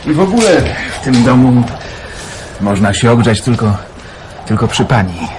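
A man's voice: mid-range voice, sing-song, normal speed, lightly acted. An actor playing a reserved, guarded man hiding out on a remote farm, answering dryly and briefly, in a radio drama. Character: reserved man hiding out, a hired hand, withdrawn. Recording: faint background noise.

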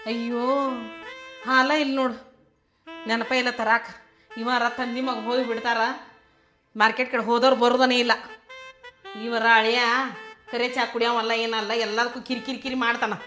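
A woman's voice: fairly high pitch, flat delivery, normal speed, pauses often, bright, forceful tone. A woman voicing a fussy, defensive mother-in-law who chatters on, in a North Karnataka Kannada accent. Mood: fussing. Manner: fussing, defensive, talkative. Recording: music playing behind.

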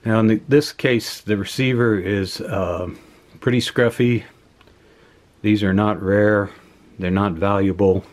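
A man with a low-pitched voice talks casually through a hands-on demonstration. He is measured and matter-of-fact, explaining things off the cuff as the host of a workbench video. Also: steady tone, darkish tone. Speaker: host of an electronics teardown video. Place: indoor workbench.

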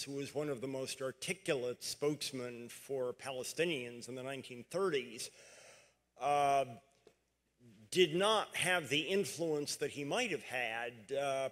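A man asking a formal question from the audience in a hesitant way full of pauses. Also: medium pitch, a bit bright. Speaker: audience questioner, law alumnus. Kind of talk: question from the floor.

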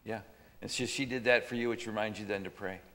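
Joking older pastor in a church hall. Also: male voice, fairly low pitch, steady tone, fast, sharp tone. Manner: joking, chatty, teasing. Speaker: pastor interviewing guests. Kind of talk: casual stage interview. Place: church stage before an audience. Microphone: handheld mic. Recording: church hall reverb with audience.

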